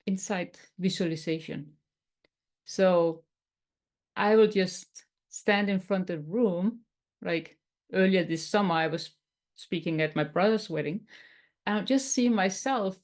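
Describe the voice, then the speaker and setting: A woman from Denmark with a Danish accent, teaching tapping in a webinar in a gentle, explanatory way with some non-native phrasing. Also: medium pitch. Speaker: tapping coach.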